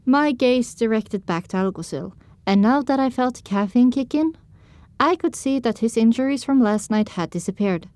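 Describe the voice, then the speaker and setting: A woman with a somewhat high voice delivers scripted first-person narration for an audio drama. The sound is dry and close, with no room around it. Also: expressive, normal speed, a little mellow, no echo.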